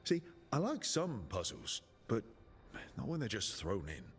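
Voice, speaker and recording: A man's voice: on the low side, wildly swooping pitch, a little slow, a little hiss or hum, exaggerated voice. A man playing an easygoing, inquisitive adventurer in a video game, conversational and dryly playful. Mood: wry. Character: easygoing, curious male adventurer from an assassin guild. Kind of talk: video game dialogue acting. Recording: music under the dialogue. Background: game music.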